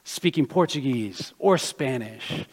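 A man preaching a sermon as pastor, born in Brazil and raised in the US, telling personal stories in an animated way. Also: medium pitch, takes it slowly, breathy edge. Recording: long hall reverb.

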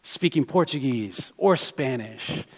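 A man born in Brazil and raised in the United States, preaching a sermon as he tells his own story and builds to emphatic, loud passages. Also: mid-range voice, animated, slow, slightly rough. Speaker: pastor. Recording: long hall reverb.

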